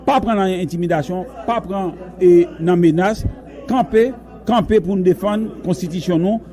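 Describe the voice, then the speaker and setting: Brief: Haitian male activist at a press conference, warm dark tone, emphatic and repetitive. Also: high-pitched, unhurried. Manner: emphatic, denouncing, repeats key phrases. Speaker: human rights director.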